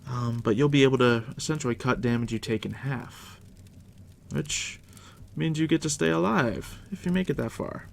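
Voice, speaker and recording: A man softly near-whispering in an ASMR roleplay as a game master, casual and unhurried with a dry, teasing manner. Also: creaky. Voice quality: deep, hushed near-whisper. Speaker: ASMR roleplay host, playing a dungeon master guiding a new player. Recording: steady background noise, crackling fire ambience. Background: fire crackle.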